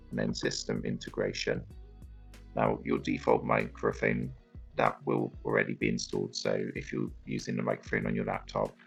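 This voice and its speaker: A very deep evil robot narrates a tutorial. The voice is mechanical and matter-of-fact, dropped far below natural speech. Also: male voice, gravelly, heavily acted. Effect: robot voice changer.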